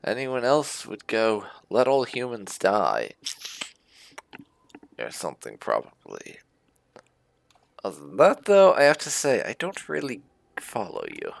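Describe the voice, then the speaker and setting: A man's voice: fairly low pitch, huge pitch swings, a little slow, gravelly. A man giving casual in-character roleplay commentary on a video game, musing wryly with muttered asides. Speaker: gaming channel host.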